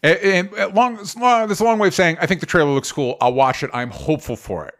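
A man's voice: high voice, fast. A man co-hosting a casual podcast, rambling, with frequent restarts and fillers. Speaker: podcast co-host.

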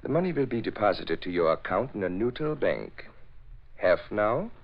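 An actor playing a stern, suspicious Nazi spymaster in 1940s radio drama style, with measured, emphatic delivery. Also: male voice, fairly low pitch, sing-song. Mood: smooth, insistent. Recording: dull old radio recording.

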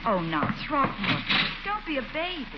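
A proper, coy lady from a 1940s radio comedy. She speaks in genteel, gently chiding phrases with animated pitch. The old recording is dull and noisy. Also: moderate pace. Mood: firm, reproving. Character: proper, coy, firm lady friend, adult.

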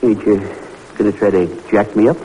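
A man's voice: on the low side, steady tone, a little fast, warm tone, close to an everyday voice. An actor playing a folksy older garage man who hems and haws as he haggles, in 1940s radio style. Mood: wry, bemused. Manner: folksy, hemming and hawing, colloquial. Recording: muffled, noisy vintage radio recording.

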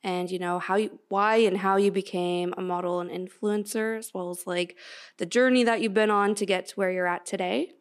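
A woman's voice: medium pitch, steady tone, normal speed, clean tone. A woman co-hosting a podcast, introducing a guest and chatting with casual fillers. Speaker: podcast co-host. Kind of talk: scripted intro, then casual chat.

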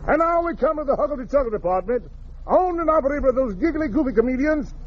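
Very high, showy male radio emcee. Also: lively intonation, fast. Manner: showy, wordy introductions. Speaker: master of ceremonies. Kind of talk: 1940s radio variety show announcing. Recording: muffled, noisy vintage radio recording.

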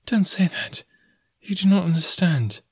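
Audiobook role: gentle, troubled young woman, earnest and pleading, lifted pitch, performed by a man. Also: expressive, a little fast, no echo, clean studio sound. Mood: distressed. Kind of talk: audiobook character dialogue.

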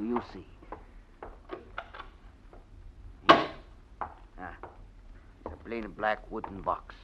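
Old Italian violin maestro, kindly, proud, halting speech, swooping low voice, dull vintage radio sound. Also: male voice, background noise. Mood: secretive, reassuring. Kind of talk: 1940s radio drama acting.

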